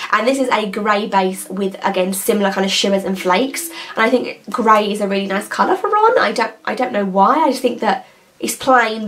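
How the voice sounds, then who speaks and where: A woman, chatting casually and enthusiastically to camera with lots of hesitations and asides. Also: medium pitch. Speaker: nail polish vlogger.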